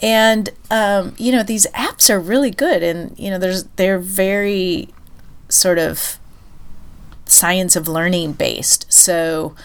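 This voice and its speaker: A woman co-hosting a podcast, chatting casually and thinking aloud with many pauses and fillers, her voice stuffy and nasal from a cold. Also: medium pitch, clear, resonant tone. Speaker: podcast co-host. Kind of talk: casual chat.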